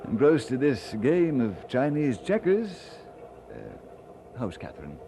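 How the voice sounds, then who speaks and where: A young Scottish minister in an old radio play. He speaks slowly with an animated, swinging melody, polite, affectionate and a little absent-minded. The recording is clearly noisy. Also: mid-range voice. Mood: absorbed, nervous. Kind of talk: old-time radio drama acting.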